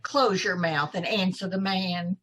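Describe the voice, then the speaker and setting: A woman's voice: lowish voice, fairly even intonation, measured pace, a bit bright. A woman playing a fluttery, lovestruck, scatterbrained older landlady in an old time radio comedy, swooning and dithering. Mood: flustered, swooning. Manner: dithering, swooning, breathlessly flustered. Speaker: amateur radio troupe actor.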